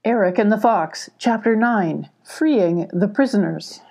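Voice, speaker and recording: An older woman storyteller reading for children. Her voice is mellow with a soft husky edge, at a middling pitch. She narrates expressively and takes her time. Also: unhurried. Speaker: story reader and host.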